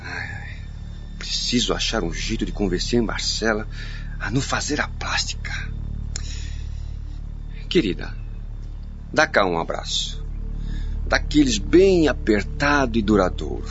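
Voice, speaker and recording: An actor playing a scheming, smooth-talking, two-faced husband in a theatrical radio soap style. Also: male voice, fairly low pitch, big pitch swings, a little slow, pauses often, a bit bright. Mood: sweet-talking, coaxing. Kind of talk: radio soap opera acting. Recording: dull lo-fi audio, music underneath.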